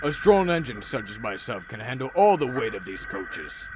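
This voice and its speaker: A man's voice: medium pitch, big pitch swings, moderate pace, background noise, close to an everyday voice. A man voicing a proud, boastful steam engine. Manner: haughty, scoffing.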